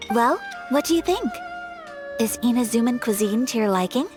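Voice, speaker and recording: A woman voicing a gentle, refined young noblewoman who speaks formally and politely in a game cutscene. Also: fairly high pitch, lively intonation, normal speed, lightly acted. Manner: formal, polite and soft-spoken. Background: game music.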